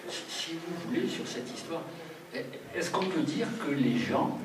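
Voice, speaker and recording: A man's voice: on the high side, sing-song, measured pace. A man leading a public talk, making his points emphatically and laying them out one by one. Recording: roomy hall, distant mic, noisy.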